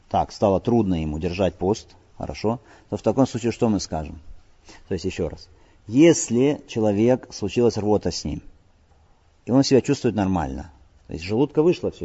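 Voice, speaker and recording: A man's voice: on the low side, mellow, husky. A man teaching religious law in a lecture, explaining texts and asking his listeners rhetorical check-in questions, with passages recited in Arabic. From Crimea. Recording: dull lo-fi audio with long hall reverb.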